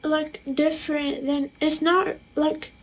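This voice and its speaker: A woman's voice: high voice, little pitch movement, takes it slowly, slight background noise, rough recording. A young female podcast interviewer speaking casually and politely.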